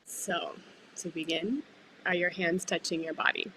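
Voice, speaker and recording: A woman leading a guided breathwork meditation, speaking gently and soothingly with unhurried pauses. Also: lowish voice, steady tone, background noise. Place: live online group call.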